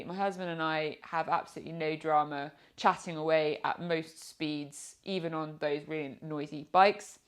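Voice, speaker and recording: A British woman presents an informal review to camera. Her voice sits a little on the low side. She explains things in a chatty, practical way, as a vlogger would. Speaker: product reviewer and motorcycle vlogger.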